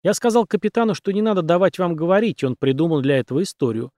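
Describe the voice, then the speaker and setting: A man doing a soft-spoken young fellow. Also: high-pitched, animated, fast talker, dry recording, clean studio sound, pitched above the performer's own voice. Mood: confiding. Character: young mustached man, friendly and knowing.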